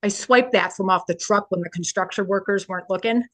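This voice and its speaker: A woman's voice: medium pitch, a little fast, fairly bright tone, close to an everyday voice. A woman playing a cheeky sitcom schoolteacher giving an aside at a table read, recorded from a distance.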